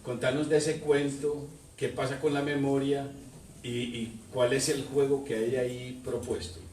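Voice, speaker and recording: A man, moderating a literary talk and asking the author questions in a measured, thoughtful way. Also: medium pitch, little pitch movement, darkish tone. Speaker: moderator at book launch. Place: bookstore event room. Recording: echoey room from far off with faint noise.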